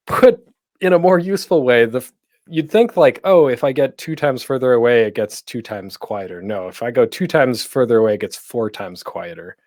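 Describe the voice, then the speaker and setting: A man's voice: medium pitch, moderate pace, a little mellow, no room sound. A man presenting a casual, conversational tutorial as a panel host, rambling and self-deprecating with jokey, geeky asides, speaking close to the microphone. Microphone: close microphone with a pop screen.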